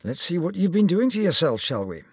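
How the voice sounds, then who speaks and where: Man voicing a kindly older Scottish surgeon, higher-pitched. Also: sing-song, brisk, husky, dry recording, clean studio sound, heavily acted. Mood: brisk, sympathetic. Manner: avuncular, encouraging.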